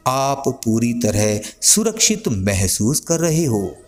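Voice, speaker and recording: Slow-paced man guiding a sleep meditation. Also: mid-range voice, sing-song, soft background music. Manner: soothing, gently instructing listeners. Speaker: meditation guide. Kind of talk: guided sleep meditation, scripted narration.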